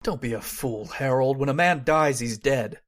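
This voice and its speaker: A man's voice: mid-range voice, normal speed, lightly acted. A man playing a skeptical but haunted narrator in an old-time radio horror drama.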